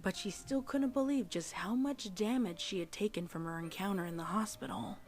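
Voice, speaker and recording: A woman's voice: mid-range voice, moderate pace, a little mellow. A woman reading scripted story narration in a dramatic style. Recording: music behind the voice.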